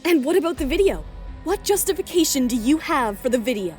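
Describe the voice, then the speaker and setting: A woman's voice: high voice, big pitch swings, fairly quick, a little mellow, soft background music. A woman playing a confident young betrayed fiancée in an audio drama, cool and self-assured. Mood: cold, accusing.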